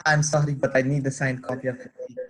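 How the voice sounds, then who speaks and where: A man's voice: medium pitch, level tone, fast, close to an everyday voice, rough recording. A man reading the part of an earnest, polite teenage boy from abroad in a table read, formal and hesitant.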